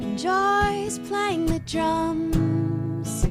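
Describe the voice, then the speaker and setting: A woman plays a cheerful little space cowgirl singing a children's song. The voice is very high and slow, carried by loud plucked-string music. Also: steady tone, heavily acted. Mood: playful, cheerful. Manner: drawn-out, singsong.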